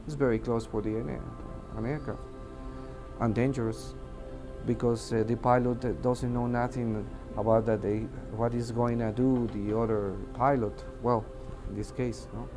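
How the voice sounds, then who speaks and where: A man with a Mexican accent, a native Spanish speaker, giving testimony in an interview and haltingly searching for words in his second language. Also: medium pitch, level tone, music in the background. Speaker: air traffic controller giving testimony. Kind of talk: interview testimony, unscripted recounting.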